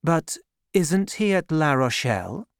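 A woman reading aloud and voicing a charming, calculating woman who speaks in a honeyed, measured way. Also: sits low, very animated, no echo, clean studio sound, pitched above the performer's own voice, full character voice. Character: scheming charming woman, sweet-voiced and calculating.

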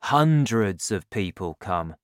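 A father with a lowered, hushed voice, confiding and scheming, as a man reads him aloud. The lines come slowly, with lively shifts in pitch. The sound is dry and close. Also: dry recording. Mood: resentful. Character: warm, scheming father, quietly resentful. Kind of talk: audiobook character voice.

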